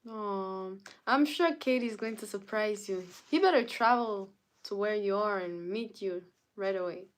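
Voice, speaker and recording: Slow-talking woman chatting with stream viewers. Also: mid-range voice. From Canada. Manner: greets viewers by name and answers chat comments. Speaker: livestreamer. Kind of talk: casual live-stream chat with viewers.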